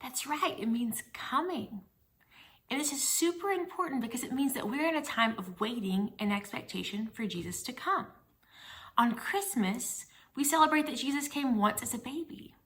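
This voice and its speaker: A woman leads a storytime for young children. Her voice sits a little high, with a bright tone and noticeable creak. She speaks as a kids' teacher would, in simple explanatory phrases aimed straight at her young audience. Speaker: children's ministry director reading a Bible story to kids. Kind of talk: storytime read-aloud and talk addressed to young children.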